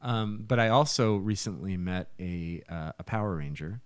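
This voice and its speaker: Male podcast host, low register, dry close sound, casual and talkative. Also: moderate pace, smooth, no echo.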